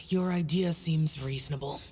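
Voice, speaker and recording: A man's voice: on the high side, steady tone, unhurried, darkish tone, soft background music, close to an everyday voice. Anime game guardian, acted by a man: youthful immortal, reserved, grave, formal phrasing. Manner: terse, solemn, formal.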